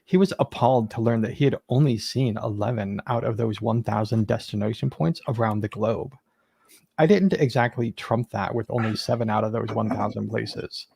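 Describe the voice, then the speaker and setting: Gravelly, husky man reading aloud. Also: on the low side, moderate pace, darkish tone, dry recording, clean studio sound. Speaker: podcast co-host. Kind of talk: reading aloud and brief comments.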